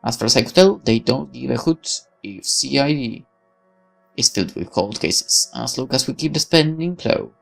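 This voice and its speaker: A man's voice: medium pitch, normal speed, creaky, faint music behind, poor audio. A man reading a visual novel's text aloud as dramatic, sardonic first-person narration. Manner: expressive, sardonic. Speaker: let's player reading game text.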